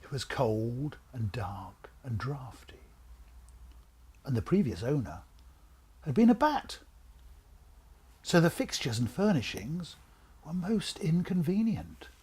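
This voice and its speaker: A man reading a children's storybook aloud in a theatrical, suspenseful way, with a husky voice that drops into breathy whispers. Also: medium pitch, big pitch swings, slow pace, pauses often, darkish tone. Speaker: author reading own book.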